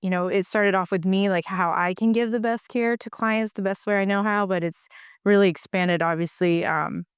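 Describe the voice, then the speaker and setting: Smooth-voiced chatty woman guest. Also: mid-range voice, steady tone, moderate pace, no echo, clean studio sound. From Philippines. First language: Tagalog. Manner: chatty, heavy use of "like", frequent restarts. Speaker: podcast guest, physical therapist. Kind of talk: casual conversation.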